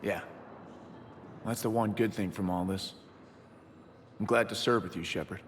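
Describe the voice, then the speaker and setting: An actor playing a grieving, loyal military pilot in a sci-fi game, reflective, subdued and sincere. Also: male voice, fairly low pitch, measured pace, long reverb tail, slight background noise, lightly acted. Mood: sincere, heavy-hearted. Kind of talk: video game voice acting.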